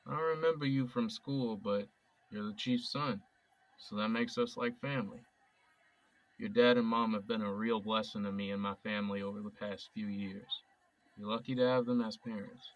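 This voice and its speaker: An earnest young man, played by an actor in an audio drama, talking casually and openly. His voice is on the low side. It sounds set back from the microphone. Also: steady tone, normal speed, distant mic, lo-fi sound, natural voice.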